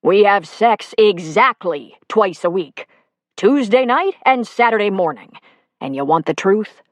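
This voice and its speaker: A woman's voice: mid-range voice, expressive, unhurried, no echo, close to an everyday voice. A woman reading the part of a fed-up middle-aged husband who is walking out, blunt and exasperated, swearing.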